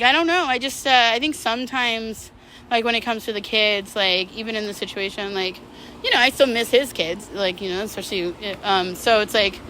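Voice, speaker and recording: A woman's voice: mid-range voice, sharp tone, a little hiss or hum, rough recording. A middle-aged woman talking casually and unscripted to her viewers, candid and slangy, rambling and drifting mid-thought. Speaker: vlog host.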